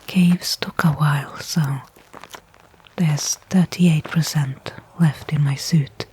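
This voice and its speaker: A woman playing a weary but hopeful stranded space worker in a whispered ASMR sci-fi roleplay, speaking in hushed, reflective narration with pauses. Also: deep voice, fairly even intonation, slight background noise, low-quality recording.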